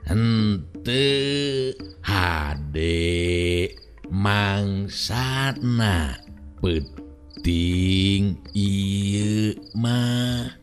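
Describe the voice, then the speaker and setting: A man voicing a cautious henchman in an audio story, speaking in a measured, deliberate, halting manner. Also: fairly low pitch, animated, soft background music. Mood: grave, cautioning. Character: cautious, slow-spoken henchman advising his elder comrade.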